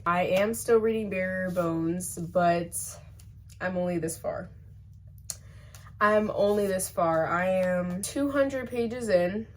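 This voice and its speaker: A woman's voice: medium pitch, slight background noise. A young woman vlogging about her reading, talking casually and rambling with lots of filler. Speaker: book vlogger. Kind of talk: casual chat to camera.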